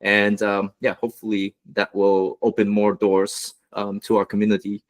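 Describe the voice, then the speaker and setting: A man from Los Angeles giving an online business presentation as a guest mentor, with a relaxed delivery and many fillers. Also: fairly low pitch, normal speed. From United States, Los Angeles.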